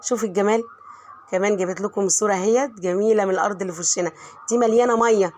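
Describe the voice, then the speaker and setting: A middle-aged woman with an Egyptian Arabic accent, hoarse from a cold, chatting warmly to viewers as she narrates her home cooking. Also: medium pitch, rapid-fire, slight background noise. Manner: warm, chatty, talks straight to viewers. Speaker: home vlogger and mother.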